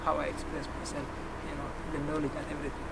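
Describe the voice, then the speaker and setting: A man's voice: on the high side, monotone, rapid-fire, vocal fry. Talkative young man from Kenya outdoors. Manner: talkative, self-assured, with many fillers. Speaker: interview guest. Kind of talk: casual outdoor conversation. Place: outdoors by a roadside. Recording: street noise in an outdoor video clip.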